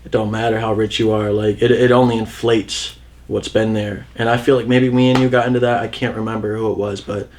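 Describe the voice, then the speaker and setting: A man's voice: lowish voice, little pitch movement, fairly quick, darkish tone. A man in his early twenties, a musician guest, talking in a laid-back, easygoing way and thinking aloud. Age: 20s. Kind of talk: casual chat.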